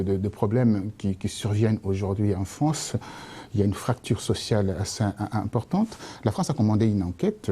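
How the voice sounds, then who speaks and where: A male debate host with a very dark, husky voice. He stumbles and restarts often as he introduces the guests and puts his questions to them. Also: fairly low pitch. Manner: halting, many restarts and fillers. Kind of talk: studio debate moderation.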